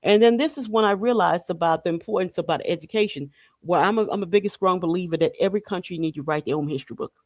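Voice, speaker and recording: A woman's voice: lowish voice, fast talker, dry recording. Emphatic woman, dry close sound. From Haiti. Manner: emphatic, repetitive, punctuating with rhetorical questions and "okay?". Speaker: podcast host. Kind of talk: spiritual and political monologue, informal talk.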